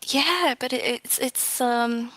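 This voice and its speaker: A woman's voice: a little high, slow pace, pushed and bright, no room sound. A woman speaking as a guest in a casual interview, a little hesitant, with phrases that trail off. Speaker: game developer, interview guest.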